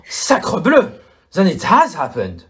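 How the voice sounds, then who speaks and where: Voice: medium pitch, huge pitch swings, slow pace, fairly bright tone, highs cut off, a little hiss or hum, exaggerated voice. A performer in an audio play acting a fussy, courteous, astute male detective with a French accent and formal, exclamatory manner. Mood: curious, startled.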